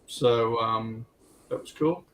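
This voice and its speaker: A man's voice: medium pitch, slow pace. A man chatting casually as a contributor on a hobby livestream. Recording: steady faint background noise.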